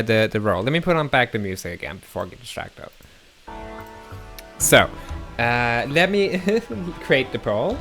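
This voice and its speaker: A man's voice: medium pitch, sharp tone. A man, an English speaker, chatting casually as a live streamer, rambling and self-deprecating with frequent restarts. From Denmark. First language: Danish.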